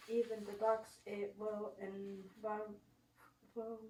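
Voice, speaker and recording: A woman's voice: mid-range voice, completely flat delivery, slow pace, dark tone. A woman reading an elder's life story aloud. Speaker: reader at a school story sharing. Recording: echoey room, distant mic, faint noise.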